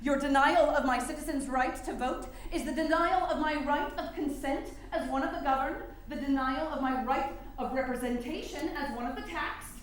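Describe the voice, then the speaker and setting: An indignant, unyielding suffragist played by a woman addresses a courtroom in a high voice. She declaims with deliberate emphasis, as oratory on a stage. The room is heavily reverberant. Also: close to an everyday voice. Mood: firm, indignant. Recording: very echoey hall stage recording.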